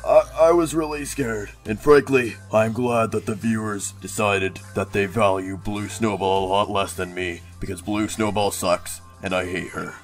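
A man's voice: fairly low pitch, steady tone, moderate pace, hardly any pauses, faint music behind, natural voice. A man voicing a melodramatic, blunt and spiteful cartoon contestant who stammers and repeats himself, in an amateur web animation. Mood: relieved, spiteful. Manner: stammering, repetitive, dramatic.

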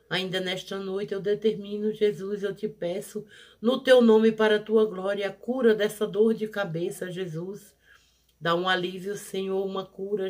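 A prayer leader, leading a fervent healing prayer in a rhythmic, repetitive, pleading cadence, swelling loud on each plea and dropping soft on each invocation. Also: mid-range voice. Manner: pleading, rising loud on pleas and falling soft on each "Jesus".

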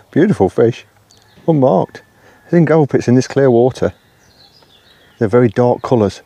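A man in his fifties, an angler talking casually to the camera from a lakeside bank, soft-spoken and often murmuring under his breath. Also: mid-range voice, expressive, unhurried, long pauses, breathy edge, no echo. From England. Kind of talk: casual fishing commentary. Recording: faint outdoor noise with birds.

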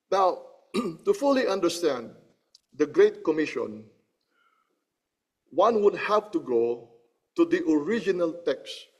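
A man preaching a sermon, teaching slowly from slides, repeating phrases and often checking with "Okay?" and clearing his throat between points. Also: medium pitch, a little mellow. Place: church.

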